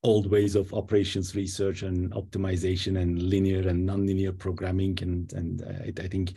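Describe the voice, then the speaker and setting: Really deep guy, gravelly and husky, mellow, keeps restarting words. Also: monotone, normal speed. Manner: stammering restarts, warm and upbeat. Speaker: course facilitator, entrepreneur. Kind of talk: self-introduction.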